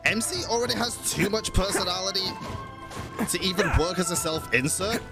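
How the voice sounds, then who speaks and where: A man's voice: sits high, brisk, over loud music. A man giving casual live commentary as a game streamer, slangy, sweary and reactive.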